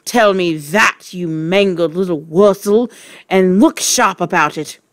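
A woman's voice: on the low side, unhurried, no echo, clean studio sound, lightly acted. Woman acting a fierce, barking, bullying headmistress. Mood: contemptuous bullying. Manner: barking, domineering.

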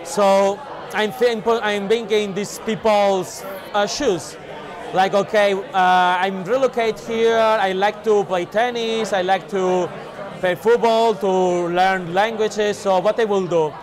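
A man with a Spanish accent talks casually in a high-pitched voice, feeling for his words as he goes. He wears a lapel mic in a busy venue, with clear crowd chatter behind him. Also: fairly even intonation, slow pace. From Spain. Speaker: meetup organiser. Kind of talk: casual interview answers. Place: large busy indoor venue. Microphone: clip-on lapel.

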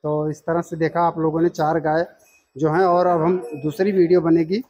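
A man's voice: a little high, little pitch movement, normal speed, a little mellow, lo-fi sound. A man hosting a farm video, asking about the cows and agreeing often with short replies. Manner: agreeable, frequent short affirmations. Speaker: agriculture channel host. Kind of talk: on-farm interview.

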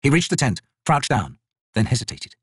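A man's voice: mid-range voice, very animated, moderate pace, a bit bright, breathy edge, no echo, clean studio sound. A man reading dramatic fantasy narration as an audiobook narrator.

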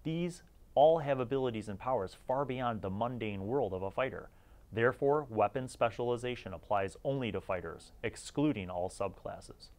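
A man's voice: mid-range voice, normal speed, a little mellow. A man hosting a retro tabletop gaming review, mixing read-aloud excerpts with informal, chatty commentary. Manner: informal, slangy, chatty asides.

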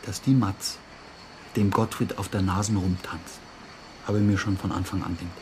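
A man's voice: deep voice, fairly even intonation, normal speed, noisy background, natural voice. An actor playing a spiteful, defensive man with a Bavarian accent under police questioning in a radio crime drama, brusque and indignant. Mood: scornful. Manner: brusque, indignant, self-justifying. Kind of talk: naturalistic German radio crime drama acting.

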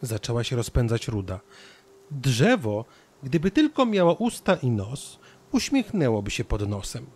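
A man narrating a scripted children's story as an expressive storyteller. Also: medium pitch, a little slow.

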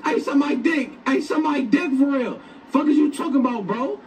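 A man roleplaying in a game clip, shouting excited, repetitive street slang over voice chat. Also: very high voice, fast. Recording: distant game voice chat with crowd noise.

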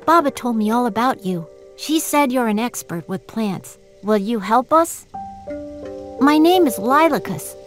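Friendly little boy from a fantasy game, kinda high, earnest, faint music. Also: animated, a little slow. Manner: earnest, youthful. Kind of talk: fantasy game character acting.

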